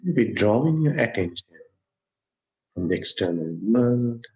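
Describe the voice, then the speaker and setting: A man leading a guided meditation as a teacher, speaking gently and unhurriedly in short phrases with long pauses. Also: mid-range voice, lively intonation. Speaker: Buddhist monk, meditation teacher. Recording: dull, muffled lo-fi sound.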